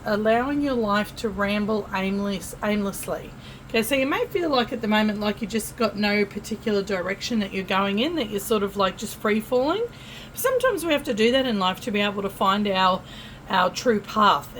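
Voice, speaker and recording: An Australian woman giving a casual tarot reading to camera, chatty and friendly, often pausing with fillers and restarting. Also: medium pitch, fairly bright tone, a little hiss or hum, low-quality recording. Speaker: tarot reader.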